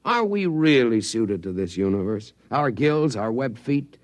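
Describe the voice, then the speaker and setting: Earnest, animated scientist, vintage radio. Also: male voice, medium pitch, a little slow, no room sound, studio quality. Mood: earnest, intent. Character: devoted visionary male scientist of a tiny underwater race. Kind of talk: 1950s radio drama acting.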